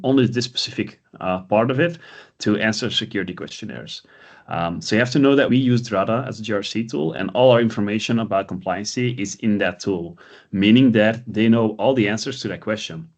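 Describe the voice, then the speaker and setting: A man's voice: on the low side, creaky. A man hosting a recorded webinar, talking in a hesitant, conversational way with many fillers. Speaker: webinar host.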